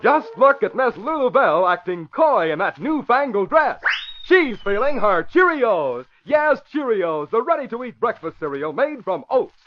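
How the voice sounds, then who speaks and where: A man's voice: very high voice, very animated, a little slow, noisy background. A man announcing in 1940s radio style, with a polished, emphatic salesmanlike delivery. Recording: dull vintage radio broadcast recording.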